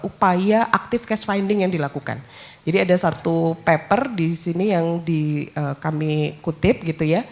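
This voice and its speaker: A woman's voice: mid-range voice, a little mellow. A woman, an author answering audience questions at a book launch, explaining at length with many hesitations. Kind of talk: academic Q&A answer.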